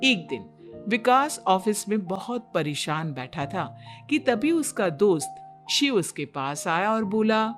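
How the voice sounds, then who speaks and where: A woman's voice: medium pitch, big pitch swings, slow pace, soft background music. A woman reading scripted narration for a moral cartoon story as its narrator.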